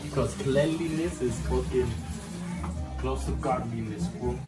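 A man's voice: medium pitch, measured pace, loud music behind the voice. A young man shows how to cook in a cramped home kitchen. He talks in loose, profane slang, with Spanish words mixed into his English, and he sounds as though he is speaking into a phone camera. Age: young adult. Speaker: home cook showing a recipe. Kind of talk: joking cooking show banter.

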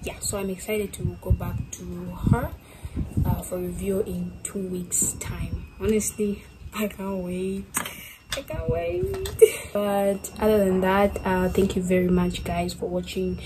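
A woman's voice: mid-range voice, measured pace, noisy background. A young woman from Zambia vlogging casually to the camera indoors, rambling with lots of "like" fillers. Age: young adult. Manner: chatty, rambling, many fillers like "like". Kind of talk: casual vlog talk to camera. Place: bedroom, indoors.

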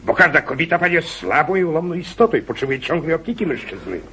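A man's voice: on the high side, big pitch swings, quick pace, pushed and bright, a little hiss or hum, full character voice. A man acting in a radio comedy as a jealous, overprotective husband, stammering, fussy, pompous and self-righteous. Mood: anxious, defensive.

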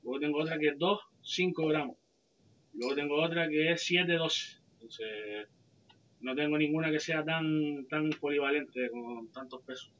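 A man's voice: fairly high pitch, normal speed, a bit bright. A man co-hosting a fishing livestream, chatting casually over a video call and answering viewers' questions. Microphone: headset on a video call. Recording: dull lo-fi call audio.

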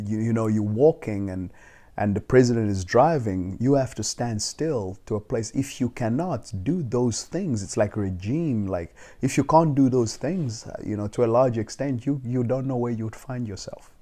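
Young man with a mellow voice. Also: medium pitch, unhurried. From South Africa. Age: 20s. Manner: thoughtful, with frequent restarts and soft laughs. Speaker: interviewee. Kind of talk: casual sit-down interview. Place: living room, seated on leather couch. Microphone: lapel mic.

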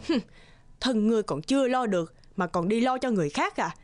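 A woman's voice: on the high side, expressive, brisk, music in the background, close to an everyday voice. A woman voicing a cruel middle-aged stepmother in a cartoon, scornful, domineering and threatening. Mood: menacing, scornful. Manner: scornful, commanding.

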